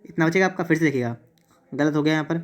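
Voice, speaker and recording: A man's voice: a little high, quick pace. A man teaches exam math step by step, repeating himself and asking questions that he answers himself. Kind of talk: exam tutorial, step-by-step problem solving.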